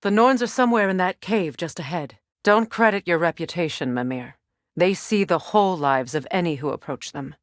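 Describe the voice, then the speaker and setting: A woman playing a regal, guarded warrior goddess in a video game, speaking in a measured, solemn, dry-witted way. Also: on the low side, no echo, natural voice. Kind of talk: dramatic video game voice acting.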